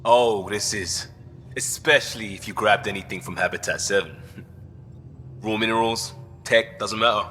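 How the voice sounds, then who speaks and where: A man's voice: lowish voice, fairly even intonation, normal speed, bright, forceful tone, in a large hall, faint music behind. An actor playing an easygoing, gear-loving spaceship crewman in a video game, casual, slangy and enthusiastic. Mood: keen, breezy.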